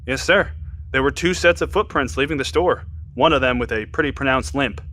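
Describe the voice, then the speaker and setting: Man acting dutiful soldier, bright forceful voice. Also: mid-range voice, a little fast, natural voice. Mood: tense, reporting. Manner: deferential, crisp military reporting. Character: dutiful male military subordinate reporting to his captain. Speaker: actor in audio drama.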